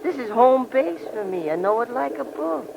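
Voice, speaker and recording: Menacing gunman, animated, noisy recording. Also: extremely high pitch, normal speed, gravelly, full character voice. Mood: bossy, insistent. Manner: folksy, commanding, threatening. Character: menacing armed male fugitive from swamp country. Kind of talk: 1950s radio crime drama acting. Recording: noisy old radio broadcast recording.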